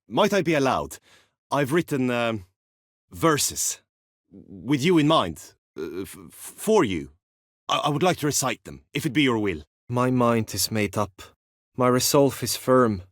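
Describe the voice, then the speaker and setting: A gallant young knight from a medieval fantasy game, earnest and lovestruck. His phrasing is formal and archaic, in a mid-pitched voice, recorded very dry and close. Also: no echo. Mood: nervous, smitten, then resolute, tender. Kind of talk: video game voice acting, medieval fantasy drama.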